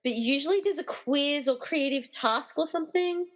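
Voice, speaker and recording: A woman playing an excitable, wry, slightly exasperated rescuer in a comedy radio play. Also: sits high, moderate pace. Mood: wry, matter-of-fact.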